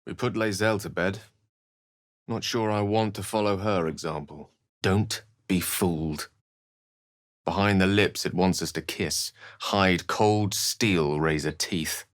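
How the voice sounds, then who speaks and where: An actor playing an eloquent, theatrical and wry human wizard in a fantasy video game, with articulate, literary phrasing. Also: male voice, low-pitched, fairly even intonation, slow, dry recording, studio quality. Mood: wry, measured.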